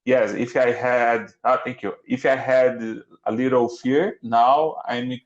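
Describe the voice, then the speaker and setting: A man's voice: mid-range voice, fairly even intonation, lo-fi sound. A man from Brazil with a Brazilian Portuguese accent, speaking English as a learner on a video call and often stopping to search for words. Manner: halting, searching for words, many restarts. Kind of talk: casual online lesson chat. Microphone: computer mic on a video call.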